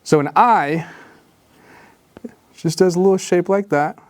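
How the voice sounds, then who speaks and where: A man with a fairly high voice guides viewers through a drawing step by step, joking and wandering off into asides. His tone stays easygoing and encouraging. The sound is dry and close, with no room echo. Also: a little slow. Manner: jokey, rambling, mock-gentle encouragement with asides and laughs; drops to whispers while concentrating on drawing. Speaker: drawing instructor. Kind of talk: playful drawing tutorial.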